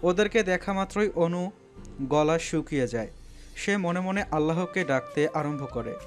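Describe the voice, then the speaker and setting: A man's voice: on the high side, expressive, moderate pace, faint music behind. A man narrating a scripted romantic story in a dramatic storytelling style.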